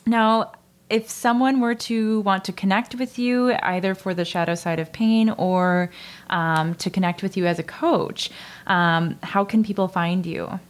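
A woman's voice: medium pitch, steady tone, a little slow. Encouraging woman podcast host. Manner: warm and encouraging, affirming. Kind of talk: casual interview chat.

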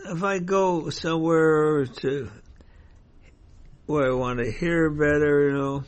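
A man in his nineties, a host's grandfather, chatting plainly at home and chuckling softly. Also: on the high side, takes it slowly. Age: 90s. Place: home condominium. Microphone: table mic moved close.

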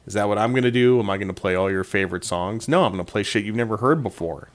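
A man's voice: fairly low pitch, quick pace. A middle-aged man from Connecticut hosting a podcast, rambling through wry personal anecdotes in a casual monologue. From Connecticut, USA. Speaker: podcast host.

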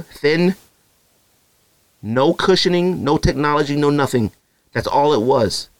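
A man's voice: fairly high pitch, no room sound. A married man hosting his own podcast alone, talking casually and rambling, with many fillers, his voice jumping up when he makes a point. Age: adult, married. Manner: rambling and conversational, many "you know" fillers, voice jumps up when making a point. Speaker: podcast host. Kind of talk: casual solo podcast talk.

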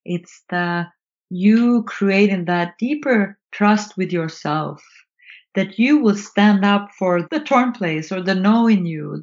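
The dull, slightly lo-fi recording carries a woman's medium-pitched voice with a Swedish accent. She is a native Swedish speaker, talking reflectively as a guest in an interview conversation. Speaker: guest, author and trainer in nonviolent communication.

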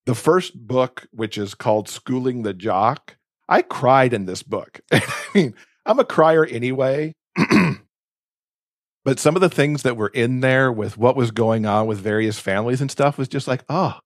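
A man with a slightly low voice talks in an effusive, casual podcast style. His delivery is emphatic and conversational, and the sound is very dry and close. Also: unhurried, dry recording. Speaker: podcast co-host recommending books. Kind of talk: casual enthusiastic book chat.

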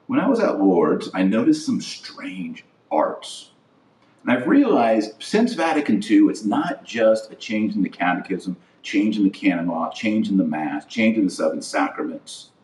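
A man's voice: lowish voice, darkish tone. A middle-aged man hosting a podcast, giving emphatic opinion commentary in short, repeated declarative phrases. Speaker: podcast host. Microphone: webcam setup. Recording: roomy echo, distant microphone.

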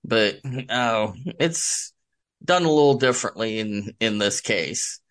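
A middle-aged man answering interview questions as a guest author, halting and thinking aloud as he talks. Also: fairly low pitch, lively intonation, a bit bright.

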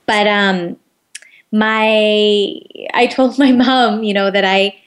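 A young North American woman talks casually as a guest in an interview. Her voice sits at a middle pitch, and her phrasing is chatty and informal, sprinkled with filler "like". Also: fairly even intonation, slow pace. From Winnipeg, Canada. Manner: chatty, informal, uses "like" often. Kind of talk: casual chat.

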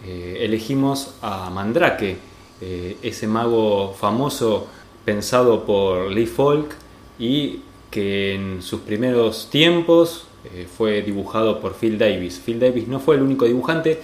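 A man's voice: lowish voice, expressive, takes it slowly. A man with an Argentine accent presenting a podcast and chatting as its host. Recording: roomy echo, faint music behind.

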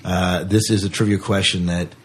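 A man with a low, husky voice chats as a podcast co-host. He speaks informally and with enthusiasm, as if talking straight to regular listeners. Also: flat delivery, moderate pace. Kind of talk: casual podcast chat.

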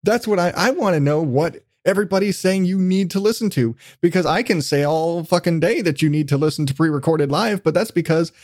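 Rambling male podcast host, higher-pitched voice. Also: brisk, hardly any pauses, darkish tone, no echo, studio quality. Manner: rambling, self-deprecating, casually profane. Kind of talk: casual chat interview.

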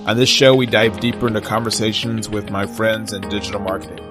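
A man's voice: lowish voice, flat delivery, fast, music in the background. A middle-aged man hosting a podcast, interviewing in a conversational style. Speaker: podcast host. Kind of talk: conversational interviewing with scripted intro.

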